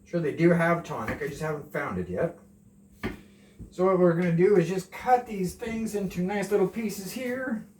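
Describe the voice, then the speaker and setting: A man's voice: high-pitched, normal speed, a little mellow, slight background noise. Nervous joking man cooking in a kitchen. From Canada. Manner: rambling, self-deprecating, jokey asides. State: nervous on camera. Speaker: home cooking vlogger. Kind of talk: casual cooking chat. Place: small home kitchen, indoors. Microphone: camera a few steps away. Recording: roomy, distant camera mic.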